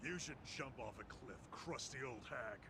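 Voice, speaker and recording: A man's voice: mid-range voice, lively intonation, normal speed, very noisy, natural voice. An actor voicing a cocky, vicious gangster in a video game, sneering and swearing coarsely as he taunts. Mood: mocking. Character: cocky, vicious young yakuza thug, openly rebellious. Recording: game dialogue under loud music.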